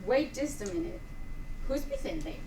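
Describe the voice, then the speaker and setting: A woman's voice: sits high, very animated, measured pace, dark tone. A woman playing an incredulous, concerned Cuban émigré visitor in a staged play reading. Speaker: student actor in a dramatic reading. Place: echoey room at a live performance. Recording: roomy, distant mic, clear noise.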